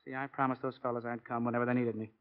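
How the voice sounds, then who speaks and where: An actor playing a genial, restless middle-aged coach and devoted husband in a brisk, affable 1940s radio drama style. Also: male voice, mid-range voice, flat delivery, clear, resonant tone, natural voice. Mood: apologetic. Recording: dull old 1940 radio recording.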